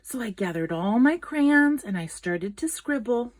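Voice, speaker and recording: A woman reading a children's picture book aloud in an expressive, dramatic storytelling manner. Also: fairly high pitch, unhurried. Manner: expressive, dramatic, with gasps and whispered asides.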